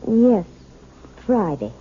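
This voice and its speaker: An actress playing a timid, wounded wife in 1970s radio drama, restrained and hesitant. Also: female voice, medium pitch, very animated, extremely slow, slightly rough, phone-quality sound, exaggerated voice. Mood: entranced. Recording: thin, noisy old radio broadcast recording.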